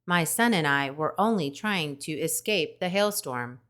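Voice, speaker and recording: Woman doing a polite robot mom, low voice, slow, measured, precise, calm storybook robot. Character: gentle polite female robot mother.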